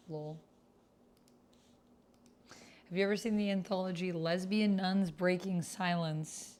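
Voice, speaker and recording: A woman's voice: lowish voice, fairly even intonation, unhurried, a little mellow. A woman hosting a casual livestream, chatting with viewers and half to herself as she reads out typed text. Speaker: livestream host and role-player. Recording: clearly noisy, keyboard clatter. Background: computer keyboard typing.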